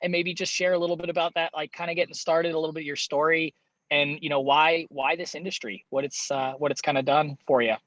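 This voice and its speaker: A man's voice: fairly high pitch, fairly even intonation, quick pace, fairly bright tone, no room sound, dull audio. A man hosting a training call in a casual, chummy, enthusiastic way, introducing and interviewing a guest.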